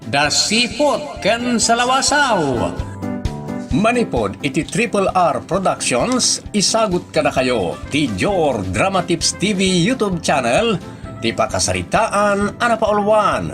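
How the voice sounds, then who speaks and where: A man's voice: high-pitched, very animated, slow pace, music in the background. A man with an Ilocano accent announcing a drama program in a scripted introduction.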